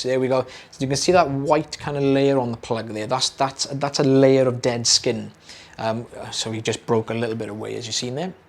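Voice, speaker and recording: Slightly hoarse British man explaining calmly. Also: medium pitch. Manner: relaxed, chatty, many fillers and repeated words. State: slightly hoarse, losing his voice. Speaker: audiologist. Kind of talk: explaining a procedure to camera.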